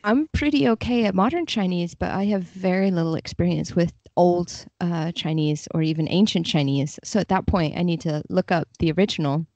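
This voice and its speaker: A woman's voice: on the low side, brisk, few pauses, darkish tone, no echo. A woman speaking casually as a guest in an interview, explaining her work. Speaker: podcast guest, literary translator.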